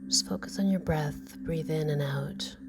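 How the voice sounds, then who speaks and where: A woman gently guiding a meditation in a soft, breathy, slow and soothing voice. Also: sits low. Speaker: meditation guide. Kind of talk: guided meditation narration. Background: music.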